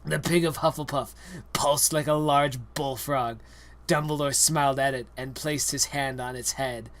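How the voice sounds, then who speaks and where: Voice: medium pitch, steady tone, moderate pace. A young adult reading a parody story aloud with exaggerated, comedic dramatic narration. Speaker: reader.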